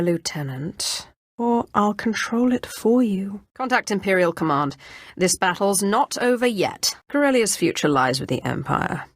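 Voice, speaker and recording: A woman's voice: medium pitch, no echo, studio quality. A woman playing a ruthless, haughty Sith inquisitor in a video game, commanding and menacing, with a mocking edge. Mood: cold menace, then confident, imperious. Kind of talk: scripted video game character lines.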